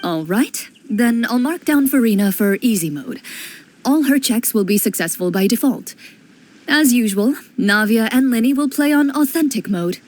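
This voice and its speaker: A woman voicing a composed woman who runs the game and explains its rules calmly. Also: fairly high pitch. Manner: calm, explanatory, measured. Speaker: game master. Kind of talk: game voice acting.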